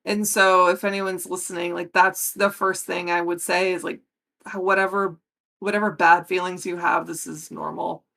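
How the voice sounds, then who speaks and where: A woman's voice: lowish voice, little pitch movement, normal speed, vocal fry, sharp tone. An American woman in her forties speaking as a podcast guest in casual conversation, earnest and emphatic. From United States. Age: 40s.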